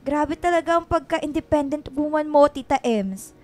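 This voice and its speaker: A woman's voice: sits high, moderate pace, soft background music, natural voice. An actress playing a cheeky, chatty young woman in a Filipino radio drama, playful and exclamatory, slipping English into her talk. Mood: playful teasing.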